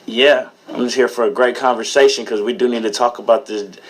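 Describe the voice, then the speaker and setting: A man in his twenties speaks bluntly and confidently, in a streetwise way, as a youth organizer on a radio panel. His voice sits in a middle pitch. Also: fairly even intonation, brisk. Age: 20s.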